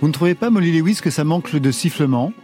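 A man hosting a radio interview, asking brisk, probing questions. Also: fairly high pitch, lively intonation, dark tone, soft background music. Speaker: radio host.

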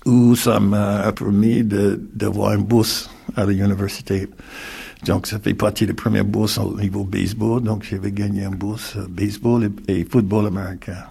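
An older retired man from North Carolina with an American accent, speaking haltingly in an interview with many fillers and restarts. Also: fairly low pitch, level tone, vocal fry, dark tone, husky. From United States, North Carolina. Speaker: interviewee, retired community mediator. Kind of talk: reflective interview talk.

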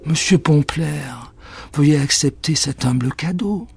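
A man voicing a polite but clumsy female witch in a storybook reading, slow and overly courteous. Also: on the high side, creaky, a little mellow, soft background music, voice pushed up.

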